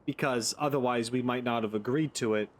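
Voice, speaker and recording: A man's voice: medium pitch, fairly quick. A man hosting a gaming video, giving casual playthrough commentary and rambling as he thinks aloud.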